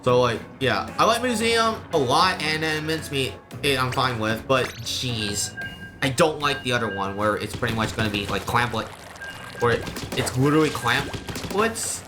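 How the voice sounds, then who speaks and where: A man's voice: medium pitch, expressive, moderate pace, music in the background. A man live streaming a game, chatting casually with viewers in an informal, rambling, exclamatory way.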